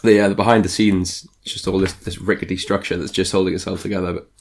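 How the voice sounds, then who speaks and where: A man's voice: low-pitched, flat delivery, fast. A British man hosting a fitness podcast, friendly and loose, joking about himself. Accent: British English. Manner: friendly, loose, self-deprecating. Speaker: podcast host. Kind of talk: casual interview hosting.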